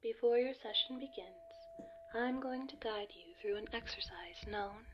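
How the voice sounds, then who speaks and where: A woman doing a slow, serene wellness announcer. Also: on the high side, muffled recording, noisy background. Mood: serene, measured. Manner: measured, calm, breathy half-whisper. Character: serene female announcer of a wellness facility's guided relaxation. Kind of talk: ASMR guided meditation narration, announcer-like.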